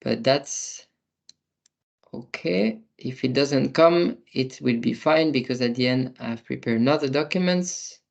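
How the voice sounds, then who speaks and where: A man presents a software demo in a webinar, moving along haltingly. His sentences carry small grammar slips, and he keeps a mid-range, ordinary voice. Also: mid-range voice, creaky. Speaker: business developer presenting software.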